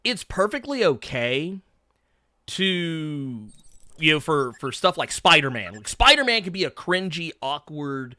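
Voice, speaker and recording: A man of thirty-nine hosting a casual chat, talking in halting bursts and repeating words as he gets going. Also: fairly high pitch, sing-song, bright, forceful tone, dry recording, studio quality. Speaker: host.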